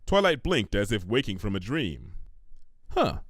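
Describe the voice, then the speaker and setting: A man reading a scripted story aloud as an expressive narrator. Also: fairly low pitch, normal speed, no room sound, studio quality.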